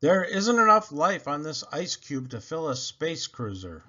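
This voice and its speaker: A man's voice: fairly high pitch, very animated, measured pace, sharp tone, full character voice. A man voicing a brash, teasing space smuggler hero from a storybook, on a dull recording. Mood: grumbling. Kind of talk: storybook character reading. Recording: dull, lo-fi sound.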